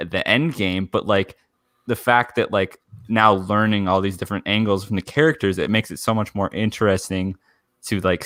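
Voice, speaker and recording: A man co-hosting a fan podcast, talking casually in a halting, rambling way as he searches for words. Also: lowish voice, fairly bright tone, no room sound, clean studio sound. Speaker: podcast co-host.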